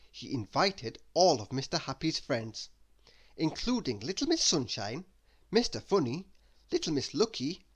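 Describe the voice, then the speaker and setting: A man reading a children's story aloud as narrator, expressive and deliberate with dramatic emphasis. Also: fairly high pitch, slightly rough. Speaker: storybook reader.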